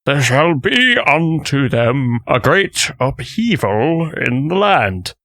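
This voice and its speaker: Undead knight, extremely slow, swooping intonation, solemn, portentous, comic-dub drama. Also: male voice, mid-range voice, big pitch swings, a bit bright, no echo, exaggerated voice. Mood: grave, prophetic. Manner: portentous, declaiming. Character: solemn undead knight chanting archaic prophecy.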